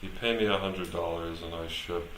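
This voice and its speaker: A man chatting casually on a live stream while he works at a computer, halting as he thinks out loud. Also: sits low, fairly even intonation. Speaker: live streamer, software developer. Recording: echoey room with clear background noise.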